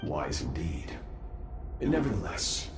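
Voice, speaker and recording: An actor playing an aloof, composed and powerful master warrior, speaking in a formal, detached way in a video game, with echo on the voice. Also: male voice, extremely low pitch, very animated, slow, gravelly, heavily acted. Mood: detached, grave. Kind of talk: dramatic video game character dialogue. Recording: echoing voice under loud music.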